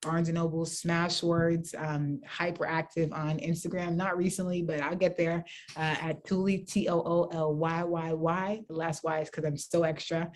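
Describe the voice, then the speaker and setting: A young woman being interviewed over a video call, speaking earnestly and reflectively with frequent ums and soft trailing phrases. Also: low-pitched, level tone, normal speed. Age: young adult. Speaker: guest, author and basketball nonprofit founder. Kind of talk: virtual interview conversation.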